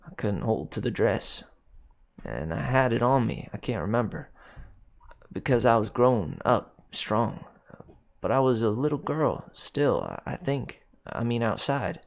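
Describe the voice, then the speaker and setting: Man playing a troubled little country girl, wildly expressive. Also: mid-range voice, creaky. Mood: confused, haunted. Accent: American country accent. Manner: childlike, halting, insistent speech. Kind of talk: dramatic first-person story reading.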